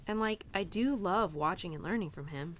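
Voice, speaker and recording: A woman's voice: lowish voice, normal speed, a little hiss or hum, close to an everyday voice. A young adult woman playing a stubbornly independent, conflicted cowgirl in an audio roleplay, confiding in her horse in a chatty, hesitant, informal way. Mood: chatty, wistful. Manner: chatty, hesitant, informal, peppered with "like" and "you know". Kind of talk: audio roleplay monologue, intimate and conversational.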